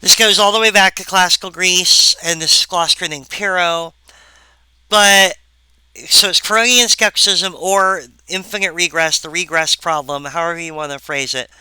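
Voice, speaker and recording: A woman giving a recorded philosophy lecture, halting and full of wry asides to the viewer. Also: fairly low pitch, steady tone, sharp tone, smooth, dry recording, low-quality recording.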